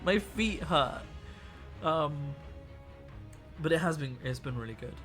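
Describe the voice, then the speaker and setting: A man's voice: on the high side, lively intonation, slow pace, a bit bright, faint music behind. A young man live-streaming miniature painting, chatting in a rambling way with a voice worn out from a week of talking. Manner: rambling, chatty, restarts sentences. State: tired. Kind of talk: casual live-stream chat.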